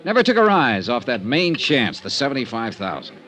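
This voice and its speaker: Shrewd hard-boiled insurance investigator, mid-pitched, very lively intonation, wry, skeptical, vintage radio noir style. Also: male voice, a little fast. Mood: persuasive, intent. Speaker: actor in radio detective drama. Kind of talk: old-time radio drama acting.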